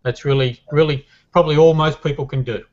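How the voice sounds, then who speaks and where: A man giving a casual testimonial on a call, relaxed and enthusiastic, with many ums. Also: on the high side, fairly quick, dull audio. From Australia. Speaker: guest member.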